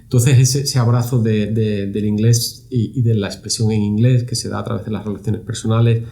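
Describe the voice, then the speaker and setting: A man's voice: fairly low pitch, flat delivery, a little fast, vocal fry, mellow. A middle-aged man from Spain, a guest answering interview questions in a reflective way, often repeating words as he searches for phrasing. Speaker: university lab director, guest.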